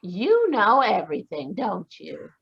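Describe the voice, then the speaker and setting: A woman's voice: mid-range voice, wildly swooping pitch, a little slow, gravelly, breathy edge, full character voice. A woman voicing a skeptical young woman in an old-time radio play, tart and sarcastic. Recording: dull lo-fi video call audio.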